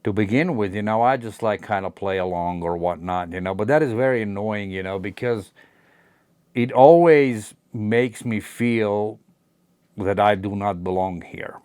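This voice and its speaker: Deep-voiced Latvian man, smooth and close. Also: a little slow, darkish tone, no room sound. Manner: rambling, many fillers, frank and cursing. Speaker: channel host. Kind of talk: casual personal talk to camera.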